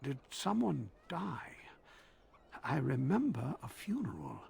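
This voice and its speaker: An actor playing a confused, pious old Templar in a video game, his mind drifting as he recites scripture in a creaky voice. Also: medium pitch, very animated, slow, a little mellow, heavily acted. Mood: bewildered. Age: older. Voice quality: creaky, breathy in places. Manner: wandering, reciting scripture dreamily.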